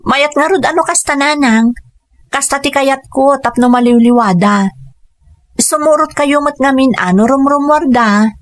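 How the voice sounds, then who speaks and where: A woman's voice: high-pitched, moderate pace, no echo, close to an everyday voice. A woman playing a devoted single mother working abroad in a radio drama, with an earnest, emotional delivery. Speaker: lead actress.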